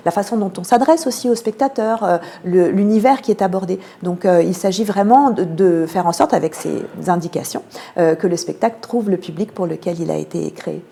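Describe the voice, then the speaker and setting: Woman, French accent from France, mellow tone, measured and hesitant delivery, radio interview guest, explanatory. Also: on the low side, vocal fry. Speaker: theatre programme manager, interview guest.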